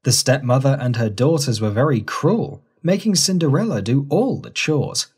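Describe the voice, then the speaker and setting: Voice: mid-range voice, animated, normal speed, darkish tone, slightly rough. A narrator reading a scripted fairy tale for children in storybook style.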